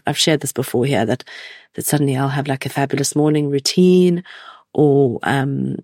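A woman in her forties with a croaky voice from a cold, hosting a reflective solo podcast and musing gently with many hesitations. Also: sits low, dry recording, studio quality. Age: 40s. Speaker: podcast host.

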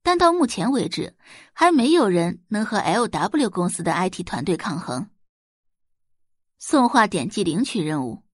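A woman's voice: mid-range voice, no room sound, studio quality. A woman narrating a scripted audio drama as a storyteller.

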